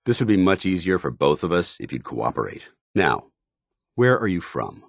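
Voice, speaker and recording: An actor playing a stern, proud and earnest soldier companion in a video game, speaking formally with clipped, commanding delivery. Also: male voice, deep voice, sing-song, normal speed, gravelly, no room sound, studio quality, full character voice. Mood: stern, guarded.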